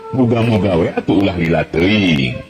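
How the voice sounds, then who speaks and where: A male storyteller voicing a confident young martial-arts teacher, steady and reassuring, on an old, echoey radio recording. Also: low voice, moderate pace, music in the background.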